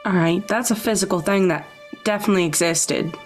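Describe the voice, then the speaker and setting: A woman's voice: mid-range voice, brisk. A woman playing a tabletop roleplaying game, improvising casually in and out of character. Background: background music.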